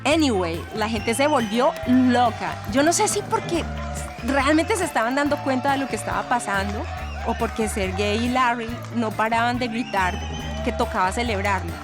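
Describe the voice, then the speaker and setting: An actress playing a chatty former student with a Latin American Spanish accent, giving gossipy, fondly exasperated testimony in an audio drama. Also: female voice, on the high side, lively intonation, moderate pace, music in the background, lightly acted. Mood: animated recounting. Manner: gossipy, emphatic, sprinkles in English words.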